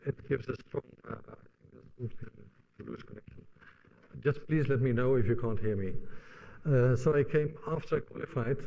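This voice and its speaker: A man's voice: medium pitch, warm tone, lo-fi sound. Dutch-accented man lecturing in a reverberant hall. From Netherlands. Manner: hesitant, many "uh" fillers and restarts. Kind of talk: opening remarks of a lecture.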